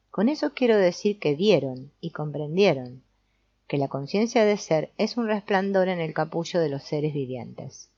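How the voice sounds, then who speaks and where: A woman reading an audiobook as narrator in a measured, explanatory way. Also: lowish voice, a little mellow. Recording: dull, lo-fi sound.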